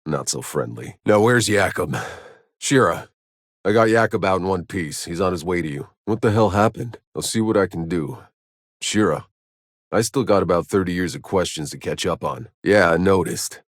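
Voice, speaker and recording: A very low, sardonic game soldier. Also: male voice, expressive, moderate pace, no room sound. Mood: sardonic, brisk. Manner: terse, dry, sardonic. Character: gruff, sardonic, battle-hardened male soldier hero. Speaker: video game voice actor. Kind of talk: video game character voice lines.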